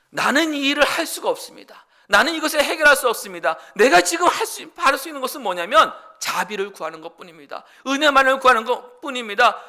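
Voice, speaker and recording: Male pastor mid-sermon; very bright, sharp tone; earnest, moves between loud pleading and calm teaching. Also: sits high. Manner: earnest preaching that swings from loud, pleading passages to quiet explanation. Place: church sanctuary.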